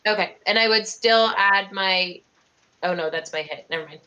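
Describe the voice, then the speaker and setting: A young woman plays in an online tabletop game, her voice bright. She thinks aloud hesitantly as she counts squares and checks rules. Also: medium pitch, normal speed, dull audio. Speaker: player. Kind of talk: casual tabletop game play.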